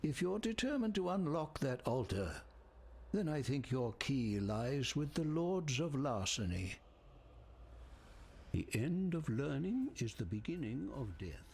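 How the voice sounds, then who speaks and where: An actor playing a grave, scholarly lore-keeper in a dark fantasy game, with a husky voice and dramatic, formal delivery. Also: male voice, mid-range voice, very animated, slight background noise. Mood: grave advice. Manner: measured, dramatic, formal phrasing. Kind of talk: scripted game dialogue, storytelling exposition.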